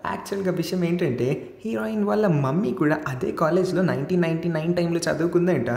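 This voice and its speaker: Brisk storyteller in an echoey room. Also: mid-range voice, creaky, darkish tone. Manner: chatty storyteller, voicing dialogue in passing. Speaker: narrator recapping a film. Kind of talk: casual scripted story narration.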